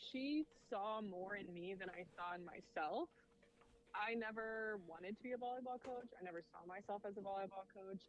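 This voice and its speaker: A woman in her thirties, a college volleyball coach, answers interview questions in a thoughtful, measured way and laughs easily. Her voice sits at a middle pitch. The audio is dull and lo-fi, with a faint hiss underneath. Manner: reflective and measured, with easy laughs. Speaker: head volleyball coach, podcast guest. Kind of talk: casual interview conversation.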